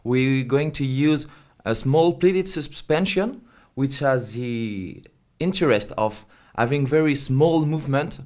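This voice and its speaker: A man's voice: mid-range voice, takes it slowly, a little mellow. Man explaining a product. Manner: hesitant non-native English phrasing. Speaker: company representative being interviewed.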